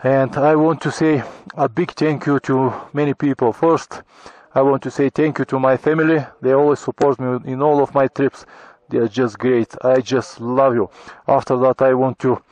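A middle-aged Bulgarian man talking to his camera on a motorcycle trip, in halting, grateful English full of restarts, recorded outdoors on a helmet or handheld action camera. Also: mid-range voice, fairly even intonation, a little mellow, no room sound, low-quality recording. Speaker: motorcycle travel vlogger. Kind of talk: casual travel vlog talk to camera. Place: high mountain pass outdoors. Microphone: action camera on helmet or in hand.

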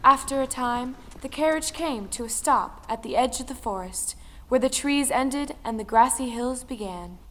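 A woman's voice: fairly high pitch, moderate pace. A woman reading scripted folktale narration for an audio drama. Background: music.

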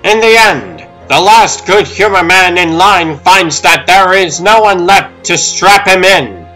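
A man's voice: high-pitched, a little slow, bright, forceful tone. A man playing a dramatic comic-book narrator, reading formal scripted narration with music underneath.

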